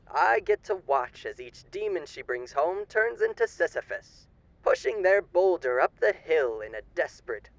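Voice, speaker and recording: A man's voice: fairly high pitch, gravelly, a bit bright, no room sound, full character voice, low-quality recording. A man playing a suave, sinister demon with a theatrical, condescending manner and old-fashioned genteel endearments in a roleplay monologue.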